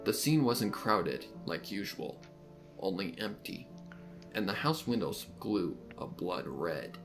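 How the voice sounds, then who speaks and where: A man narrates a story dramatically in a very low voice. His pitch is extremely animated, and music plays clearly behind the reading. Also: takes it slowly. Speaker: narrator, fan fiction reader. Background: background music.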